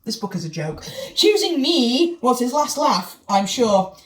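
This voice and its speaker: A woman's voice: fairly high pitch, big pitch swings, unhurried. Sarcastic woman reading aloud. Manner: sarcastic, swears, breaks off to mock the text. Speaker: reader of a novel. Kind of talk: mocking read-through with banter. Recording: echoey room, mic at a distance, faint noise.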